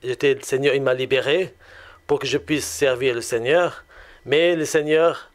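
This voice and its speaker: Smooth-voiced man with a Lebanese accent. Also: medium pitch, fairly even intonation, normal speed. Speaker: guest giving a religious testimony. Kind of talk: personal testimony in conversation.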